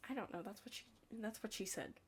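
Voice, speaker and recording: A young woman chatting casually in an ASMR study roleplay, rambling and hesitant, hushed and slipping into whispers. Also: medium pitch, quick pace, slight background noise. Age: young adult. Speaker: ASMR creator playing a study partner.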